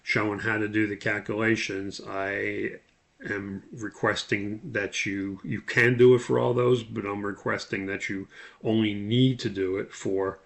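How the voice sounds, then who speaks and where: A man giving an instructional walkthrough as a course instructor, explaining in a halting way and spelling out terms. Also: lowish voice, level tone, gravelly, fairly bright tone.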